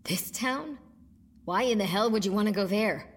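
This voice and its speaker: A woman acting as a hard-edged, suspicious farmer. Her husky voice is mid-pitched, curt and commanding, in audio drama style. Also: normal speed, lightly acted.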